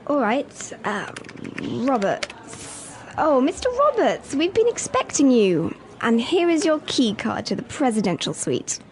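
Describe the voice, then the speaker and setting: A woman reading scripted dialogue parts for an English listening lesson, speaking clearly and carefully. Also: high voice, sing-song, a little slow, slight background noise. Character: woman calling in sick, then a polite hotel receptionist. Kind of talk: scripted role-play dialogue.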